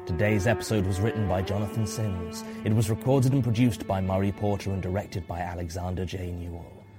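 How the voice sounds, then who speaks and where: A man with a fairly low voice reads scripted podcast credits as an announcer. Music plays clearly behind him. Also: steady tone, brisk.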